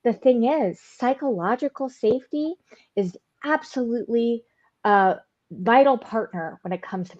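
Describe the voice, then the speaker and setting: A woman's voice: mid-range voice, darkish tone, no echo, highs cut off. A woman speaking earnestly and emphatically as a panelist in an online discussion. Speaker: HR founder, panelist.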